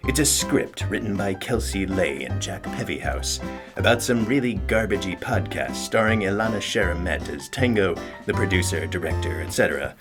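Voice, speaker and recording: Low-voiced man narrating over guitar music. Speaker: credits announcer. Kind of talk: tongue-in-cheek second-person narration of credits. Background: plucked guitar music.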